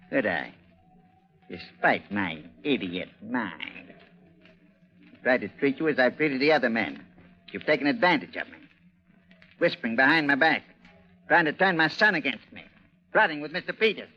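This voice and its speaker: An actor in a 1940s radio drama playing a cruel, paranoid ship's captain, menacing, mocking and explosive. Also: male voice, medium pitch, expressive, normal speed, pauses often. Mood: sneering, menacing. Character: tyrannical, paranoid ship's captain, cruel middle-aged father. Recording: dull, lo-fi 1940s radio recording.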